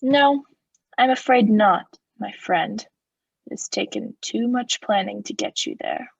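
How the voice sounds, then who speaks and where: A woman's voice: medium pitch, a little slow, creaky, husky, dry recording, highs cut off, heavily acted. A woman acting a cold, vengeful murderer in a radio play, speaking with formal, sardonic politeness as he mocks his victim. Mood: cold, resentful.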